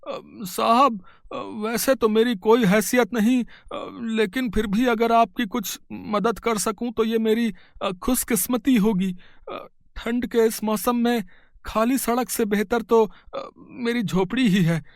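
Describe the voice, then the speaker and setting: A very high-pitched, hesitant old security guard, as a man voices him in a storybook tale. He stammers through "uh" fillers and bows to everyone as his betters. The voice sits close and dry. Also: fairly even intonation, slow, no echo, exaggerated voice. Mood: humble. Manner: hesitant, full of "uh" fillers, deferential. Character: humble, kind older male security guard. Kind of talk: storybook voice acting.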